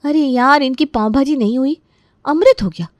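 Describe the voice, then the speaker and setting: A woman's voice: high voice, normal speed, no echo, pitched above the performer's own voice, lightly acted. A woman voicing a young Indian daughter-in-law who grumbles irritably in a cartoon story. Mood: irritated. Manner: muttering, irritated.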